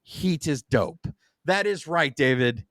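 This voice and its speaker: A man's voice: fairly high pitch, takes it slowly, no echo, studio quality. A man in his forties, a native Spanish speaker, co-hosting a podcast in playful, hyped-up casual chat. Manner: lively, playful hype. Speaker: podcast co-host.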